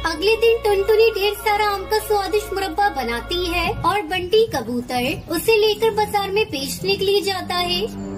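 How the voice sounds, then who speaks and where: A woman narrates a children's cartoon story from a script. Her voice is extremely high-pitched. Clear music plays underneath. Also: animated, normal speed.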